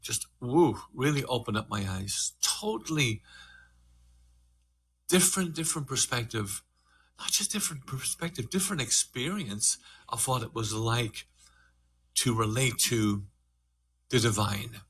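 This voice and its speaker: A man's voice: mid-range voice, lively intonation, a bit bright, slight background noise. An older man with an Irish accent, a meditation teacher telling his own story earnestly and reflectively in a group conversation. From Ireland. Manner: earnest, reflective, slow and fast by turns.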